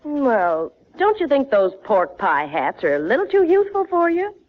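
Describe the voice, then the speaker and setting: An actress playing a sensible, gently chiding middle-aged housewife and mother in a noisy old 1940s radio sitcom recording. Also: sits high, huge pitch swings, measured pace, phone-quality sound, heavily acted. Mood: curious. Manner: wry, gently reproving.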